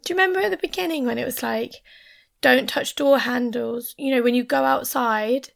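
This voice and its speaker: A woman's voice: sits high, fairly quick, vocal fry. A woman who has lost her voice and has a sore throat, chatting casually over a video call, often dropping to a near whisper. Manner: chatty, drops to a near whisper at serious points.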